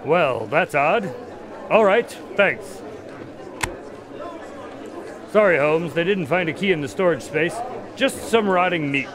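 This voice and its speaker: Male actor, skeptical doctor sidekick, animated pitch, wry, exasperated, questioning, audio drama, outdoor crowd noise. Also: unhurried.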